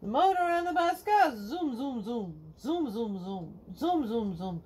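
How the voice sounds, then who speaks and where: A middle-aged woman reading a children's picture book aloud to kids at home, slowly singing the verses in a sing-song way. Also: fairly high pitch, very animated, darkish tone, slight background noise. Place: home living room, indoors.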